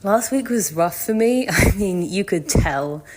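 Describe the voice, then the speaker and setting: A woman's voice: mid-range voice, animated, a little slow, darkish tone, slight background noise. A woman leaving a casual fan voice message, gushing and swearing freely. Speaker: listener sending a voice message.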